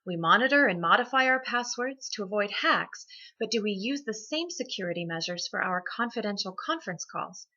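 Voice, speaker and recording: Bright-voiced woman presenting scripted tips. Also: a little high, dull audio. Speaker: host of a business tips segment. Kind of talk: scripted instructional narration.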